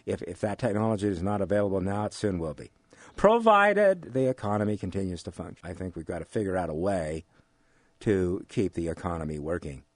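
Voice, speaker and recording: An older American man giving thoughtful interview answers in a measured, formal way, pausing as he searches for words. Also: deep voice, expressive, no room sound. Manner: measured, deliberate, formal wording with hesitant pauses. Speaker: interview guest, college history teacher. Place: radio studio.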